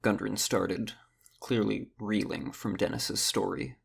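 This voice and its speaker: A man with a gravelly, husky voice reading scripted narration for a fantasy audio drama. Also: lowish voice, fairly even intonation, slow.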